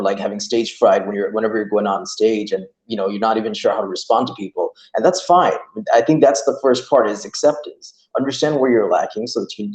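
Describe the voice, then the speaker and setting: Young male workshop presenter, lowish voice, casual and rambling, roomy call audio. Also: darkish tone. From Iran. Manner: chatty, many fillers like "you know" and "like". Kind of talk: online training talk. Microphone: video call.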